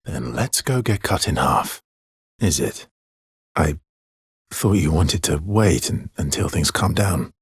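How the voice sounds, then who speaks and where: An actor playing a wry, loyal, world-weary swordsman in a video game, with a husky, breathy voice and a dry, teasing manner. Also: very deep voice, lively intonation, slow, no echo, full character voice. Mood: wry, casual.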